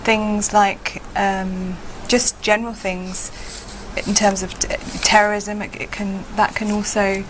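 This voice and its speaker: A woman's voice: medium pitch, level tone. Thoughtful woman child therapist, hissy recording. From United Kingdom. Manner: gentle, thoughtful, pauses with fillers. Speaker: child psychotherapist. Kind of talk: interview guest, explaining her work. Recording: hissy background noise.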